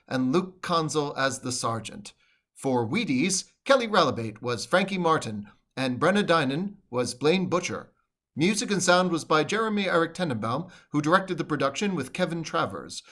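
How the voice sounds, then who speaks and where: Casting: male announcer, slightly high voice, formal scripted old-time radio sign-off. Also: sharp tone. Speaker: closing credits announcer.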